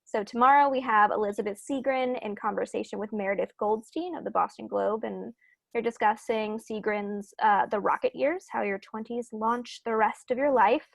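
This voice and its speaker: A woman's voice: on the high side, fairly even intonation, normal speed, gravelly, dry recording. A woman hosting a virtual book event over a video call, giving introductions in an informal, matter-of-fact way. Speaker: bookstore event host.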